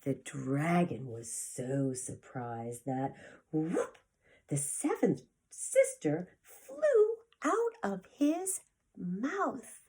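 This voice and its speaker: Very animated woman reading to preschoolers. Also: lowish voice, drawn-out words, slightly rough. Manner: theatrical, with gasps and asides to young listeners. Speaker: preschool teacher reading aloud. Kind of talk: expressive children's picture-book read-aloud.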